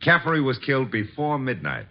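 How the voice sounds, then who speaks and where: An actor playing a wry, slangy detective's assistant in an old radio mystery. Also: male voice, medium pitch, very animated, moderate pace. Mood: wry, businesslike. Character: brisk, wisecracking male detective's assistant. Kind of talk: 1940s-50s radio detective drama acting. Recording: dull old radio broadcast recording.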